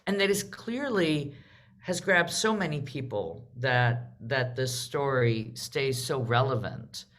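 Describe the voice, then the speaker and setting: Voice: mid-range voice, expressive, slow pace, fairly bright tone. Panel moderator for an arts talk, mid-range voice, conversational, draws others in with questions. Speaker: host and moderator of a theatre discussion. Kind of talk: live panel discussion, conversational.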